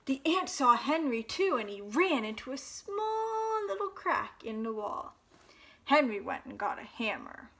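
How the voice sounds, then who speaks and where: A woman with a high, bright voice reads a children's picture book aloud. She takes her time and pauses for drama, telling it expressively as a storytime host for young listeners. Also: very animated, slight background noise.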